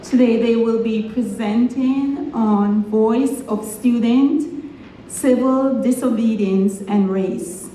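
A woman giving a formal introduction to the speakers, slow and deliberate. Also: fairly high pitch, warm tone. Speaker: event introducer. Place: large hotel meeting room. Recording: echoey room, faint noise.

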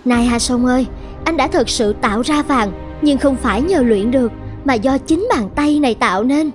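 A gentle young farmer's wife from a cartoon fable, played by an actress. Her voice is high, sweet and earnest, supportive in tone. Faint music runs underneath. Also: moderate pace, close to an everyday voice. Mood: earnest, proud. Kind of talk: cartoon voice acting.

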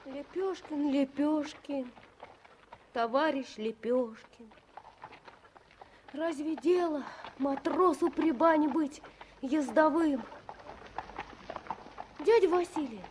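A woman's voice: high-pitched, darkish tone, slight background noise. An actress playing an earnest, eager little girl with a childlike, emphatic way of talking, in a 1960s radio drama. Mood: pleading. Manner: childlike, wondering, slow and emphatic.

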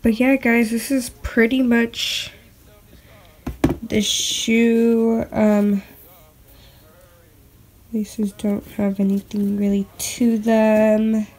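A woman giving a casual, unscripted product review in a hesitant, halting, informal way, recorded on a handheld device in a bedroom. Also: on the high side, flat delivery, a little mellow. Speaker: sneaker reviewer. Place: bedroom at home. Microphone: handheld phone or camera. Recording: clicks and light background noise.

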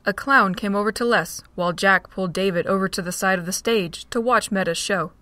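A woman narrating a fan audio drama with a steady storytelling read. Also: mid-range voice, a little fast, dry recording. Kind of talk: scripted narration.

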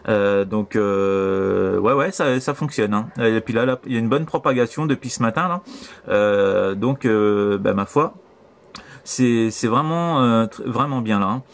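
A man's voice: fairly low pitch, a little slow, creaky. French man chatting on amateur radio. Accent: French, from France. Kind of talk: casual radio contact chat. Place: voice over CB shortwave radio link. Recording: radio transceiver audio.

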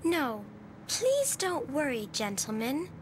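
A high, lively, bossy android girl. She is prim and corrective, a long-suffering cartoon tour guide. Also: slow, slight background noise, full character voice. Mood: managing. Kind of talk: animated dub acting.

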